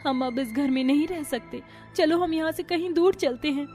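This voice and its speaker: A woman playing a gentle, self-sacrificing poor young elder sister who pleads softly in a cartoon moral story. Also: sits high, normal speed, soft background music, close to an everyday voice. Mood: sad, pleading. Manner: tender, pleading.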